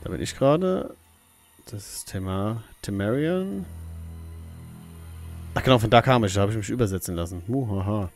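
A man's voice: lowish voice, lively intonation, normal speed, long pauses. Male let's play host: casual, playful, swinging intonation, self-directed muttering, faint music underneath. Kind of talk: casual gaming commentary. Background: faint game music.

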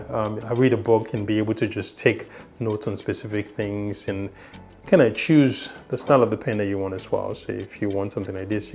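A young man with a low voice, not a native English speaker, talks through a gadget's features in a casual review. He rambles, restarts and leans on fillers. He is seated in a small room indoors. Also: darkish tone. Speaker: tech reviewer. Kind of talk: casual product demo.

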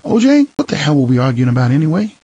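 A quick-tempered, exasperated wife, voiced by a male narrator, pitched well up but still raspy. The lines are snappish and emphatic, in a memoir audiobook with slightly muffled sound. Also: very animated, warm tone, no room sound.

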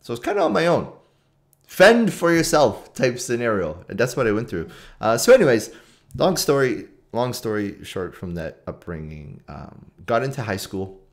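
A man's voice: mid-range voice, sing-song, normal speed. A man in his late thirties with a Canadian accent, telling a personal story casually to camera and repeating phrases for emphasis. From Toronto, Canada. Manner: informal, conversational, with repetition for emphasis. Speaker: trader and trading community video host. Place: small room at home.

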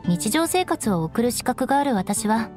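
A young noblewoman knight from an anime game with a high, expressively inflected voice. She is proud and stoic, speaking with measured formality and haughty composure over background music. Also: very animated, a little mellow. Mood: calm, resigned. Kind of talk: Japanese anime game voice acting.

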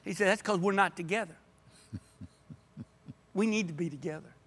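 Older man, high-pitched, passionate and emphatic, guest in a church podcast chat. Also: moderate pace, fairly bright tone. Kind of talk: earnest conversation. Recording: podcast audio.

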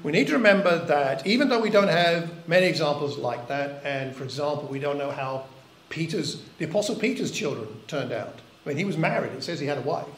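Earnest older preacher in echoey hall. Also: male voice, on the high side, expressive, brisk, slight background noise. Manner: earnest and reflective, with rhetorical questions. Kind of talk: sermon. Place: church hall. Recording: echoey church recording.

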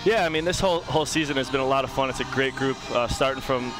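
Young guy lacrosse player, headset mic outside, talks fast, kinda earnest. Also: medium pitch, over music. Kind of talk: post-game sideline interview. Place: outdoor stadium sideline at night. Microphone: broadcast headset mic.

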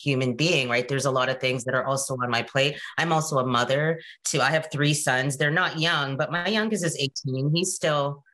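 A woman in her forties from Canada, talking casually on a video call, animated and chatty, often checking in with "right?" and "you know". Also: extremely low pitch, moderate pace, few pauses. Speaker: guest presenter. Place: home room.

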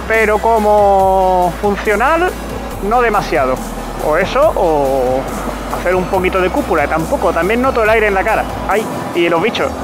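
A man reviewing a motorcycle while riding it through city streets, talking casually and joking at his own expense, recorded on a helmet camera mic. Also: high-pitched. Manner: chatty, joking, laughs at himself. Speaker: motovlogger.

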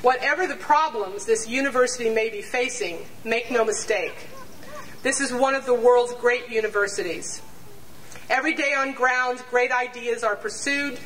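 An older woman reading a prepared statement aloud to a rally on an outdoor lawn, measured and deliberate, sometimes stumbling and restarting over numbers. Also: on the high side, a bit bright. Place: outdoor campus lawn before a large crowd. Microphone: standing mic on stand, picked up from a distance. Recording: distant outdoor pickup with crowd noise.

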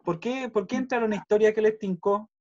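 A man's voice: high-pitched, a little fast, a little mellow. A man with a Chilean accent casually hosting an online meeting and reading out messages over a video call. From Puerto Montt, Chile. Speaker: host of a virtual university welcome session. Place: indoors at home, on a video call. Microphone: computer video-call microphone. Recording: dull lo-fi video call audio.

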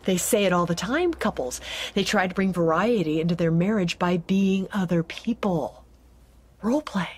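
An actress playing a sharp-tongued wife in an audio drama, giving quick sardonic retorts. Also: female voice, fairly low pitch, slightly rough. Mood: confiding. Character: sharp-tongued wife, middle-aged woman. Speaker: actor. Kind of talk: studio audio drama acting.

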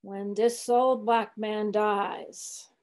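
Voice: sits high, lively intonation, unhurried, darkish tone. A woman voicing a grumbling, weary ninety-year-old male ship's cook in an audiobook, sullen and testy, speaking in dialect. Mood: solemn. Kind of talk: literary audiobook character reading.

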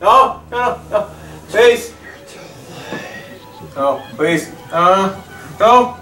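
A man's voice: sits high, animated, full character voice, rough recording. A young man playing a terrified sinner in an amateur film, wailing and pleading in melodrama. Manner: wailing, drawn-out shrieks. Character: terrified pleading male sinner seized by an angel.

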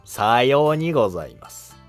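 An actor playing a humble, cautious monk who advises an emperor in formal, deferential court speech in a historical drama. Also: male voice, mid-range voice, big pitch swings, normal speed, soft background music, lightly acted. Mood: calm, advising. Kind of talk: Japanese historical drama dialogue.

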